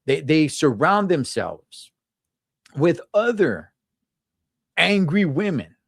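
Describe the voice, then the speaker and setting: A man's voice: on the high side, big pitch swings, slow, gravelly, husky, dry recording. Man, emphatic and opinionated, dry close sound, casual talk. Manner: emphatic, opinionated, repeats words. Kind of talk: casual chat.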